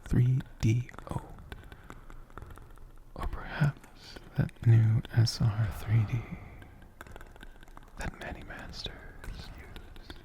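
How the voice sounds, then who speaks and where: A man doing soft-spoken, whispered ASMR in a gentle, deliberate manner, close to a condenser mic. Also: mid-range voice, little pitch movement. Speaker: ASMR creator answering viewer questions. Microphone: USB condenser mic, close up.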